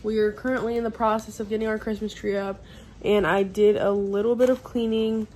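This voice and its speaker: Hoarse young woman, sick and stuffy, tired casual vlog talk at home, faint background noise. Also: level tone, a little fast, a little mellow. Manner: tired, low-energy, chatty. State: sick, lost voice, congested. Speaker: vlogger. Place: at home indoors.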